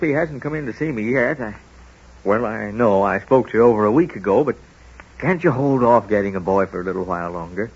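A man playing a kindly, gently moral small-town family doctor in old-time radio drama, speaking in a folksy, hesitant, musing way. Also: medium pitch, breathy edge. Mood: apologetic, patient. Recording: dull old radio broadcast recording.

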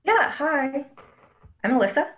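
A woman's voice: high-pitched, big pitch swings, measured pace, a bit bright. A woman in her thirties, an illustrator speaking modestly and friendly with fillers on a call. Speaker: illustrator guest. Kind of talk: panel discussion on a call.

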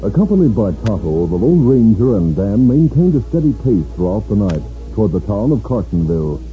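Low, animated 1950s radio narrator man. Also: brisk. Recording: tinny old radio broadcast, music behind.

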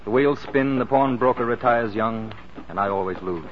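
Actor playing a smooth flirty race driver guy, breezy, 1940s radio drama, dull hissy sound. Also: medium pitch, normal speed, natural voice. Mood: breezy, flirtatious. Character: suave, flirtatious male racing driver, breezy gambler.

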